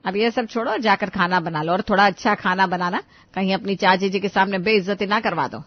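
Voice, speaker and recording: A woman voicing a sarcastic older mother-in-law who scolds and grumbles in an animated family story. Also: medium pitch, fairly quick, lo-fi sound, lightly acted. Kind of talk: animated moral-story dialogue.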